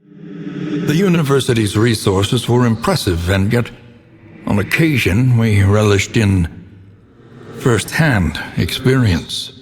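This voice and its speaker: An actor playing a grave, erudite older scholar in a horror game, narrating a dark occult past with solemn, deliberate, ornate diction. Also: male voice, fairly low pitch, animated, a little hiss or hum. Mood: grave, brooding. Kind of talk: dramatic scripted gothic narration. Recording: long cavernous reverb.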